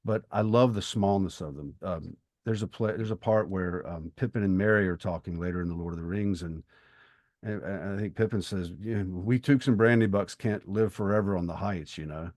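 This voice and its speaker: A middle-aged man with a deep voice speaks in a very dry recording. He co-hosts a literary podcast and talks reflectively, often stopping to rephrase and work out his thought as he goes. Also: brisk, a little mellow, no echo. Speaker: podcast co-host and writer.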